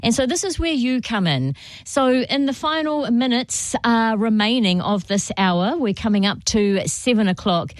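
A woman's voice: fairly high pitch, expressive, normal speed, no echo. A woman hosting a radio fundraising drive, upbeat and persuasive. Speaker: radio host. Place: radio studio.

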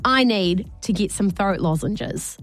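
A woman's voice: medium pitch, expressive, unhurried, soft background music. A woman co-hosting a radio show, telling a rambling story in casual on-air chat, laughing often, her voice a little worn. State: slightly losing her voice. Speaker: radio co-host.

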